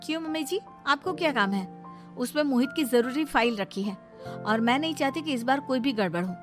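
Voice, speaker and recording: A woman's voice: high-pitched, lively intonation, fairly quick, music in the background. A woman voicing an earnest, wronged young wife who protests her innocence in a family cartoon. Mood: earnest, wary. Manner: protesting, defensive. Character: wronged young Indian wife, earnest, firm when cornered. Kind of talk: animated family drama voice acting.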